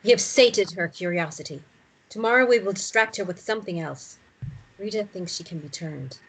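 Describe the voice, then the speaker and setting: A woman's voice: mid-range voice, normal speed, a bit bright, husky, low-quality recording. A woman playing a cool, controlling female cult conspirator in a screenplay reading. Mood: cool, firm.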